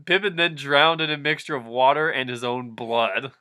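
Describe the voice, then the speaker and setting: A man with a bright, forceful voice reads a story aloud in a mock-dramatic way. He keeps breaking off into casual, amused asides and stumbles back into the narration. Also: medium pitch, steady tone, normal speed, clear, resonant tone. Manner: breaks into giggles, mock-dramatic, self-interrupting. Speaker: reader of a fan fiction dramatic reading.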